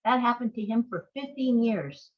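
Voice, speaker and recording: A woman's voice: a little high, expressive, moderate pace. Older woman, dull lo-fi audio. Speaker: playwright and screenwriter guest. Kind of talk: conversational interview storytelling.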